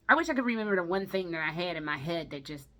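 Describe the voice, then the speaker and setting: A woman's voice: fairly low pitch, animated, fairly quick, fairly bright tone. A woman giving a casual, ranting movie review as a vlogger, blunt, exasperated and emphatic, swearing heavily. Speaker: video game and movie vlogger.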